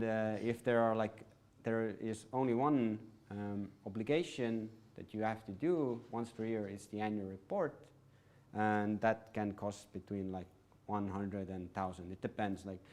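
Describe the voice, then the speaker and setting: A man's voice: lowish voice, darkish tone. A man giving an informal presentation at a business event, talking casually with many fillers and self-corrections. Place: event venue, live audience.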